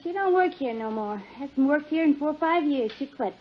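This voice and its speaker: Streetwise, wary female showgirl: high pitch, brassy slang, dull vintage radio sound. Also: animated, normal speed. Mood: wary, startled. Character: tough, wary, protective burlesque showgirl. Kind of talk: 1950s radio drama acting. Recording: dull 1950s radio recording.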